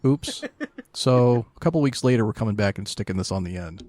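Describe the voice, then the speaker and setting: A man's voice: fairly low pitch, animated, fairly quick, darkish tone. A man co-hosting a podcast, chatting casually with dry joking asides. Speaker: podcast co-host.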